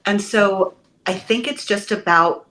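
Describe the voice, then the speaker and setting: A woman, a Broadway actress, chatting as a podcast guest, telling stories with lively enthusiasm and the odd casual swear word. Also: mid-range voice, a little slow, slight background noise, rough recording. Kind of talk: casual podcast interview chat.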